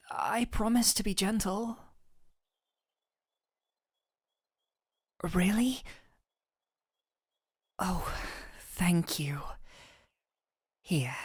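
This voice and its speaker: A woman playing a gentle, teasing doctor in an intimate fantasy audio roleplay, speaking softly and affectionately, close to the microphone. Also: medium pitch, dry recording, full character voice. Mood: playful teasing. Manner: soft, breathy near-whispers, affectionate teasing.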